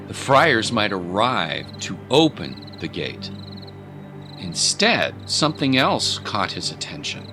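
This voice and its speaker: Narrator: man, lowish register, dramatic storytelling read with clear music bed behind.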